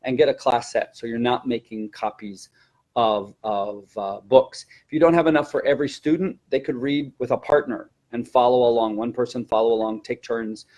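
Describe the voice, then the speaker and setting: A man's voice: medium pitch, fairly even intonation, normal speed, a little mellow. Man instructing teachers in mid-range voice. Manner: explanatory, instructive. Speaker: teacher and trainer presenting a webinar. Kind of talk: informal webinar discussion of teaching techniques.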